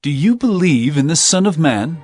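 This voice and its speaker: Casting: solemn, authoritative holy teacher, lively intonation, deliberate Bible drama delivery, music underneath. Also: male voice, a little high. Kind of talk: dramatized audio Bible acting.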